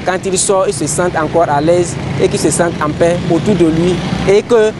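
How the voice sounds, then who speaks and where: A man with a Togolese accent giving a formal, earnest statement as a citizen, speaking haltingly and ceremoniously. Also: sits high. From Togo. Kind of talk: formal spoken statement to a microphone. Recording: clear outdoor street and traffic noise.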